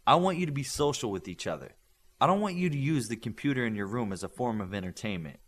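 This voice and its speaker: Brisk authoritative male coach, read by a male narrator, instructive, lively intonation, audiobook. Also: medium pitch. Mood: firm, persuasive.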